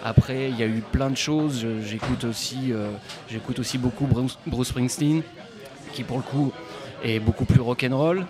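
A man, a guest musician, answering interview questions in a hesitant way full of pauses and restarts, at a folk club bar. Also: medium pitch, fairly even intonation, music in the background. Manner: hesitant, many fillers and restarts. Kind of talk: casual interview. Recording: live bar recording with crowd chatter. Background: crowd chatter.

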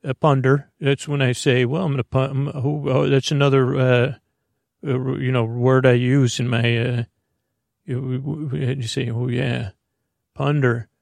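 Creaky-voiced man rambling on a sleep podcast. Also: medium pitch, level tone, a little mellow, no echo. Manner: meandering, tangent-prone, halting; whimsical wordplay, talks to himself in imagined dialogue. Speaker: podcast host.

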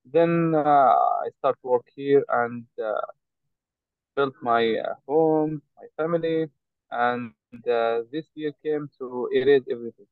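Halting man on a tinny call line. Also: medium pitch, dry recording. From Saudi Arabia. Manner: halting, frequent hesitations. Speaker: guest. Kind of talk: interview over a call.

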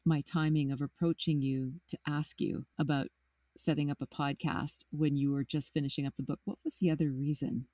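A woman's voice: low-pitched, steady tone, fairly quick, mellow, no room sound. A woman hosting a casual interview, asking questions in a conversational style. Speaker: interview host.

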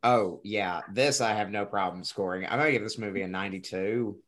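A man with a fairly low voice shares his film scores in an eager, emphatic way. He speaks in an easy, casual podcast style. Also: fairly quick, fairly bright tone, a little hiss or hum. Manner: enthusiastic, emphatic opinions. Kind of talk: casual film review chat.

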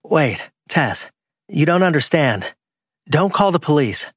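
A man's voice: on the high side, big pitch swings, slow, slightly rough, no room sound, studio quality, pitched above the performer's own voice, full character voice. A man playing a trapped, guilty man in an audiobook crime story, fearful and pleading. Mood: pleading denial. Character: Darren, trapped, panicking, secretly guilty man. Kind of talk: audiobook dialogue acting.

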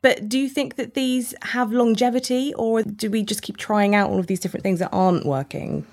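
A woman's voice: medium pitch, a little fast, darkish tone. A woman hosting a podcast in a conversational style, inquisitive and steering the discussion. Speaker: lead podcast host.

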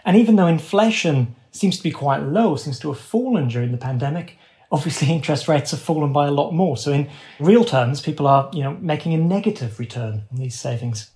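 Higher-pitched man explaining economics in conversation. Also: animated, brisk, darkish tone. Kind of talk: conversational economic analysis in an interview.

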